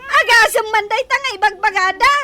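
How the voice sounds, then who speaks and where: Whiny, very high-pitched young village daughter. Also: female voice, background noise. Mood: upset, tearful. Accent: Filipino. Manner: whiny, emotional, drawn-out exclamations. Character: troubled, touchy, quarrelsome young rural daughter, Flor. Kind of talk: Ilocano radio drama acting.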